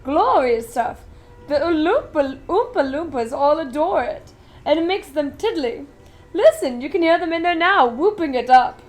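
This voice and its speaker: A woman reading aloud and voicing an eccentric, excitable male chocolate factory owner who talks loudly and briskly. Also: high-pitched, faint music behind. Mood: gleeful. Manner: loud, brisk and commanding.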